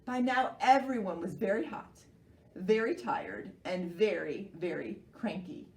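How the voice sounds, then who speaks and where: A woman's voice: medium pitch, expressive, measured pace, darkish tone. A woman leading a children's storytime, reading expressively and putting questions to her young listeners. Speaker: children's library storytime reader. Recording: roomy echo, far from the mic.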